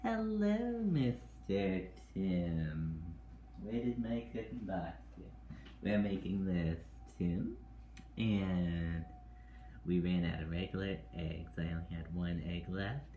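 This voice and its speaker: A man's voice: sits low, big pitch swings, takes it slowly, mellow. A young man hosting a casual home cooking show in his kitchen, chatting to people off camera while he mixes. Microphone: camera on the counter. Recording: roomy kitchen with background noise.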